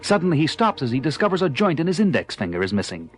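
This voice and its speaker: A man's voice: a little high, big pitch swings, quick pace. A man narrating an audio drama with dramatic, scripted delivery. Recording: loud music behind the voice.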